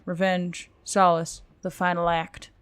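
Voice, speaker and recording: A woman's voice: fairly low pitch, little pitch movement, a little slow, clean tone. A woman reading a scripted story aloud as an audiobook narrator.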